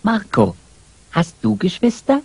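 An adult woman interviewer asking simple, clearly spoken questions for a language lesson. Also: medium pitch, wildly swooping pitch, a little slow, a little mellow.

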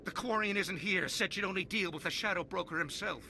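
Cowardly, pleading crime boss. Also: male voice, sits high, a little fast, creaky, sharp tone, a little hiss or hum, heavily acted. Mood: panicked, pleading. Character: cowardly crime boss begging for his life. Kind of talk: video game voice acting.

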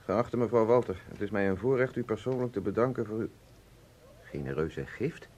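A man's voice: on the low side, lively intonation, moderate pace, darkish tone. A man acting in a radio play as an obsessive, aggrieved broadcaster and estranged husband, speaking with insistent, indignant emphasis. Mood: bitterly reading aloud. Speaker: actor.

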